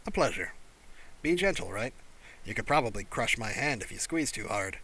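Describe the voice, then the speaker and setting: A man voicing a small, furry male alien pilot, wry and curious, with a baritone voice, in an audiobook. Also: big pitch swings, moderate pace, bright, forceful tone, husky, exaggerated voice, poor audio. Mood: wry, friendly. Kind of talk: audiobook character voice.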